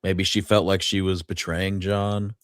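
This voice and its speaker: A man's voice: sits low, little pitch movement, a little slow, no echo, clean studio sound. A man co-hosting a casual chat, offering brief, laid-back remarks and reactions.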